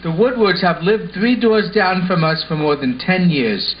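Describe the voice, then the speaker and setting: Puppet show boy played by a man: high voice, polite and helpful, earnest delivery, slightly hissy and muffled recording. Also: a little slow. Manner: earnest, simply explaining. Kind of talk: amateur puppet show acting. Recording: muffled home recording with hiss.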